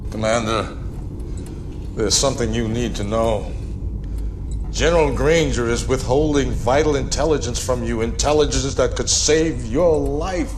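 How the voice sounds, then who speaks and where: A man's voice: medium pitch, measured pace, noisy background, close to an everyday voice. Male actor as ambitious manipulative director, mid-range voice, persuasive, self-assured, dramatic emphasis, game cutscene. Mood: confiding. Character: smooth-talking, scheming, ambitious male political director. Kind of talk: video game cutscene acting.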